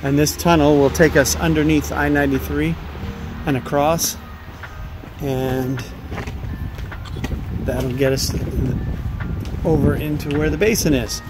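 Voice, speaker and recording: Middle-aged man, casual travel vlogger filming on a handheld gimbal outdoors; relaxed, rambling delivery with music beneath. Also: a little high, unhurried, long pauses. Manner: easygoing, explains with fillers and restarts. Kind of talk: casual travel vlog narration. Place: outdoors by a forest trail and parking lot. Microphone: camera on a handheld gimbal.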